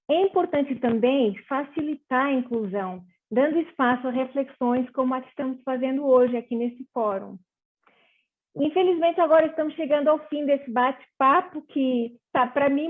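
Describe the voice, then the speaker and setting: A woman from Portugal with a Portuguese accent tinged with Brazilian tones, moderating a panel in an earnest, measured way with small hesitations. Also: high-pitched, slightly rough. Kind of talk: reflective panel talk. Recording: phone-like video call audio.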